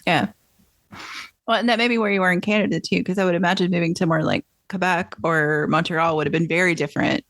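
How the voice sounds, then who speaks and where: Woman, Southern American accent, podcast host, low-pitched, dry close sound, casual storytelling. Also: steady tone, quick pace, fairly bright tone, dry recording. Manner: chatty, anecdotal, frequent affirmations. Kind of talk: casual conversational interview.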